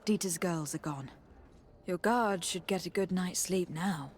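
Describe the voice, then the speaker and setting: A woman's voice: lowish voice, unhurried, slight background noise, natural voice. An actress playing a poised, courteous young noblewoman diplomat in a video game, speaking formally and reassuringly. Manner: polite, composed, formal. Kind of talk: scripted video game dialogue.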